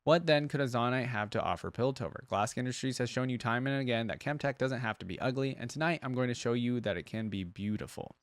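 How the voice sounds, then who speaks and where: A confident, calculating businesswoman read by a man in storybook dialogue. The voice sits at a medium male pitch with a polished sales manner, recorded dry. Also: fast talker, few pauses, dry recording, close to an everyday voice. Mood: confident salesmanship.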